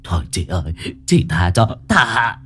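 Terrified old drunkard, man doing the voice; low, stammering, begging. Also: big pitch swings, unhurried, bright, forceful tone, a little hiss or hum, exaggerated voice.

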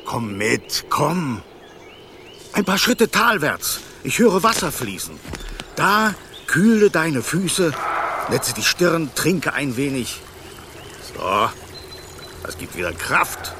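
An actor playing a bossy, grumpy but caring golden snake guide in a radio play, brusque and scolding. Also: male voice, fairly high pitch, big pitch swings, slow pace, long pauses, noisy background, full character voice. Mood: concerned. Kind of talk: German radio play acting.

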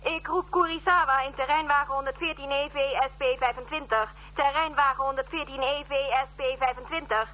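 Casting: sarcastic young female station operator, sci-fi radio play, high and forceful, mocking delivery. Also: brisk, few pauses. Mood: impatient, mocking. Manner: snappy, mocking, swearing. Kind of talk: Dutch science-fiction radio play acting.

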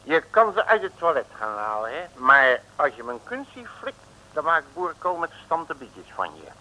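An actor playing a cocksure, bumbling detective in an old radio comedy, full of slangy bluster and hesitations. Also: male voice, a little high, sing-song, normal speed, pushed and bright, heavily acted. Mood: patronising. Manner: hesitant fillers, folksy comic threats. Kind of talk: 1950s-60s Dutch radio comedy acting. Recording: noisy old radio recording.

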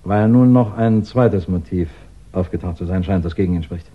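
An actor playing a composed, probing police inspector in a 1960s German radio crime drama, deliberate and dryly polite. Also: male voice, low voice. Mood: formal, grave. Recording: dull vintage 1960s radio recording.

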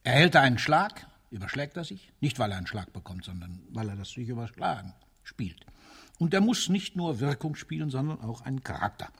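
A cynical, battle-sick ruler in a German-style stage radio play. He speaks deliberately and rhetorically, with bitter weight at a middle pitch, his voice somewhat husky. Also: sing-song, fairly bright tone, full character voice. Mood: sardonic, emphatic. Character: world-weary, cynical male military ruler, self-confessed butcher. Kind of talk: theatrical radio drama monologue.

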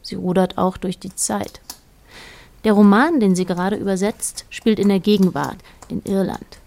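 A woman narrating a literary radio play in a measured, precise manner. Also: medium pitch, creaky, a little mellow, close to an everyday voice.

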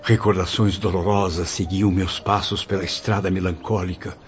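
A man's voice: low voice, level tone, normal speed, natural voice. Anguished ghost of a proud writer, acted by a man, muffled sound. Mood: melancholy. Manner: theatrical, literary, lamenting. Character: anguished spirit of a dead male writer, proud, melancholy. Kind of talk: dramatic radio soap acting. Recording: muffled radio drama with faint music.

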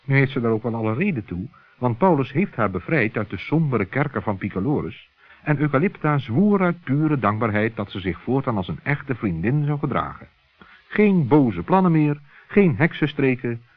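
A man's voice: medium pitch, very animated, normal speed, no echo. A man narrating a children's radio play with theatrical, suspenseful storytelling. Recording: muffled old narrow-band radio recording.

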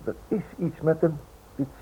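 An actor playing a stubborn, suspicious Dutch writer in a radio play, wry and probing with hesitant pauses, on an old thin recording. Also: male voice, fairly high pitch, narrow, tinny audio, a little hiss or hum, close to an everyday voice. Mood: evasive.

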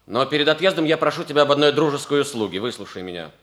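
An actor playing a noble, lovelorn young cavalry officer in a theatrical, sighing manner in a 1960s radio comedy. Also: male voice, fairly high pitch, animated, racing, a bit bright. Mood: grave. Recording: 1960s radio play with music behind.

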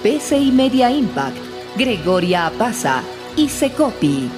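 A woman's voice: a little high, big pitch swings, measured pace. A woman announcer reading scripted credits for a radio production, with music behind her. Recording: music under the voice.

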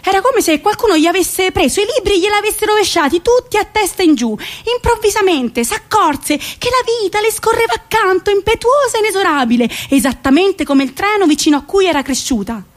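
A woman with a Roman, central Italian accent, telling a story theatrically in an expressive, dramatic manner. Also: very high-pitched, fairly quick, hardly any pauses. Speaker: stage actress narrating a monologue. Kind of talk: theatrical storytelling, solo radio play.

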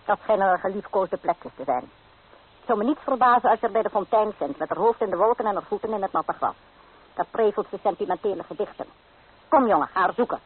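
An actress playing a domineering, scolding old grandmother with a sharp tongue in a radio play. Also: mid-range voice, fast talker, husky, close to an everyday voice. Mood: sarcastic, bossy. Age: older. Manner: commanding, sharp-tongued, sarcastic. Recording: tinny, band-limited old radio play.

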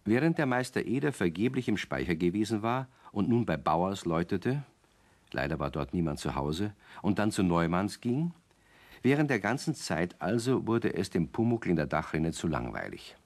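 A man as the narrator of a children's radio play, reading scripted story narration in an unhurried way. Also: deep voice, sing-song, full character voice. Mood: calm.

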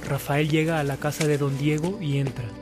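An actor narrating a saint's life in a radio drama as a measured storyteller. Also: male voice, on the high side, flat delivery, over loud music, lightly acted.

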